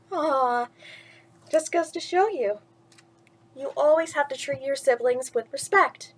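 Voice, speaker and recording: Woman w a high voice, chatty kids storytime host, expressive. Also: slow, long pauses, a little hiss or hum. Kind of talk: casual vlog chat and children's bedtime story reading.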